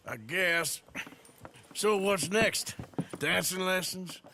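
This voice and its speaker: An actor playing a dry, plain-spoken American outlaw in a video game, laconic and wry. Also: male voice, high-pitched, drawn-out words, pushed and bright, a little hiss or hum, exaggerated voice. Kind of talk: video game cinematic acting.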